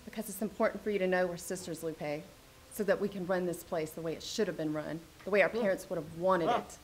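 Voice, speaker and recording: An actress playing a scheming mother calmly revealing her plan in a campy comedy. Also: fairly low pitch, quick pace, roomy sound, faint music behind, close to an everyday voice, low-quality recording. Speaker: actor.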